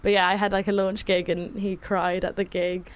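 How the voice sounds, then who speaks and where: A young woman with an English accent talks casually and easily, full of fillers and little laughs. Her voice sits at a middle pitch. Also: level tone, normal speed. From England. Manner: chatty, laughing, lots of fillers. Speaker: musician guest. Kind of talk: casual chat.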